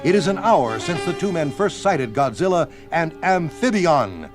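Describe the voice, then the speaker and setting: A man's voice: fairly high pitch, sing-song, moderate pace. Dramatic male narrator over music.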